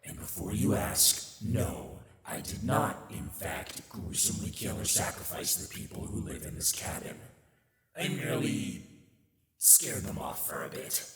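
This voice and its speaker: Casting: male performer as sardonic demon master, low gravelly voice, wide dramatic swings, condescending, ASMR roleplay. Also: sing-song, a little slow, reverberant, long reverb tail, a crowd in the background, exaggerated voice. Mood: sly, amused. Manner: theatrical, condescending, sly asides.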